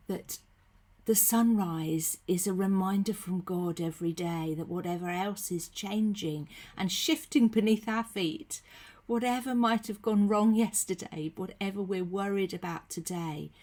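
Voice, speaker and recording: A woman with a cold, her voice husky and croaky, giving a gentle, chatty devotional talk from her room into her computer. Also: medium pitch. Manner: gentle, chatty, with frequent ums and soft asides. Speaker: faith leader giving a short devotional. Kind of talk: casual devotional talk to camera. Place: her own room at home. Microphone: computer webcam.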